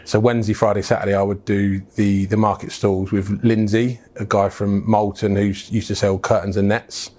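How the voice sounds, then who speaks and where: A man's voice: on the low side, flat delivery, normal speed, hardly any pauses, a little mellow. A middle-aged English businessman telling his life story in a frank, casual interview, with plenty of fillers and restarts. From England. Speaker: business founder, guest.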